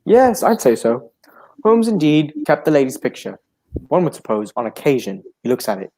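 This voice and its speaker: A genial gentleman companion and storyteller of old radio drama, acted by a man. His mellow voice swings widely in pitch, with proper, exclamatory phrasing. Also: sing-song, normal speed, poor audio. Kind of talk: adaptation of a 1940s radio drama.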